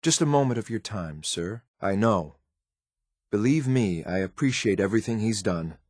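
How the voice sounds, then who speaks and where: An actor playing a loyal, coldly disdainful synth soldier in a video game, speaking in a clipped, military, deferential but blunt way. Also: male voice, lowish voice, lively intonation, normal speed, darkish tone, no echo, clean studio sound. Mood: dutiful, composed. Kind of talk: video game companion voice lines.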